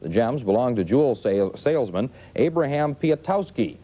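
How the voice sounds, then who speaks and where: Mellow male American news anchor. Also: medium pitch, lively intonation, moderate pace, low-quality recording. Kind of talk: broadcast news reading.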